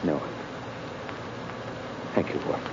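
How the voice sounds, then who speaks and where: An actor playing a bitter, bewildered condemned prisoner in a 1930s radio drama, emotional and agitated, on a very noisy old recording. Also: male voice, drawn-out words, lo-fi sound. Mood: anxious.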